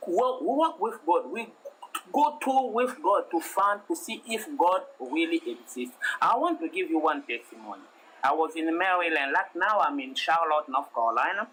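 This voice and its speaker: A man with a French-speaking African accent calls into a live debate stream, speaking earnestly and haltingly as he argues for belief in God. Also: on the high side, fairly bright tone, a little hiss or hum, poor audio. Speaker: caller.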